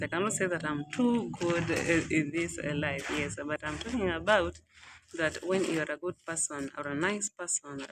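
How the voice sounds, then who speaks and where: Hesitant woman in her thirties, vocal fry. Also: low voice, slight background noise, rough recording. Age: 30s. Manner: hesitant, frequent "uh" fillers, repeats herself. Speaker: vlogger. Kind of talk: reflective casual monologue. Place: outside a small holiday villa.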